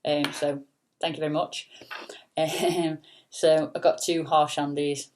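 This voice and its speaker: Soft low-voiced woman. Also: level tone, slow pace. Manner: chatty, full of fillers and casual swearing. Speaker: singer and vlogger. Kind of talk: rambling late-night chat.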